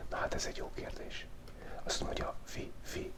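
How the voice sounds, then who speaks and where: A man speaks in a steady whisper, hosting casual ASMR. He has a cold, and he rambles playfully, pausing and hesitating between asides. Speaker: host of a drawing ASMR channel.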